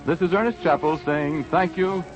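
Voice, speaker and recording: A man's voice: fairly high pitch, normal speed. An American man announcing on 1930s radio, reading scripted copy in a polished, emphatic salesman's manner. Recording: dull vintage radio broadcast, music behind.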